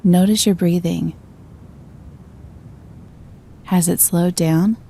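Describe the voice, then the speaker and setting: A woman narrating a scripted guided meditation in a gentle, calm and soothing manner. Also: on the low side, slow pace, creaky, breathy edge.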